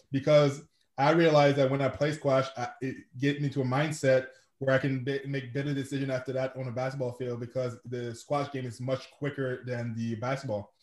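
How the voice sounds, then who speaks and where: A man's voice: mid-range voice, darkish tone, roomy sound. A man from Quebec City with a Québécois French accent, French as his first language, talking casually as an interview guest with many restarts. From Quebec City, Canada. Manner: rambling, many restarts and fillers. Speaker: guest, sport founder and coach.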